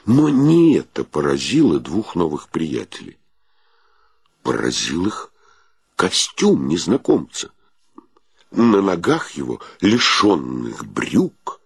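An actor narrating a wry comic period tale in a radio play, in a measured, dramatic storytelling manner. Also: male voice, sits low, huge pitch swings, gravelly, breathy edge, no room sound, studio quality, heavily acted.

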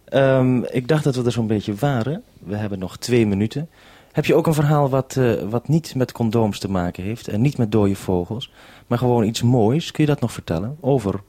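A man presenting an unscripted live radio interview in a measured, probing way. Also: medium pitch, mellow.

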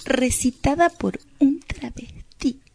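A woman's voice: high voice, slow, creaky, darkish tone, exaggerated voice. An actress playing a fussy, doting middle-aged mother in a melodramatic audio soap, exclamatory and disapproving. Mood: scandalised. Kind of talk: melodramatic Spanish-language soap opera acting.

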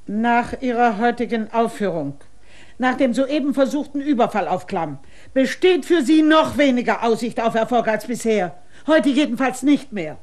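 An actress playing a sharp-tongued female village innkeeper in a radio drama, with a cutting, scolding way of talking. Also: a little high, expressive, moderate pace, lightly acted.

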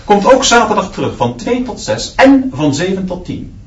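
A man's voice: high-pitched, sing-song, moderate pace, distant mic. A man playing a strict, unbending schoolteacher in a radio drama, giving curt commands. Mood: stern, commanding. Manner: barking curt commands and punishments. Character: strict new male schoolteacher, hard and unbending. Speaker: actor in a radio play. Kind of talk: Dutch radio drama acting. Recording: dull, noisy, echoey classroom sound from off.

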